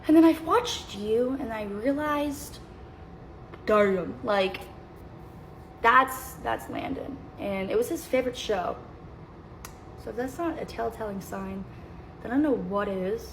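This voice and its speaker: Gravelly halting woman on a noisy clip. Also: on the high side, animated. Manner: halting, earnest, repeats herself. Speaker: social media poster. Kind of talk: emotional personal video message.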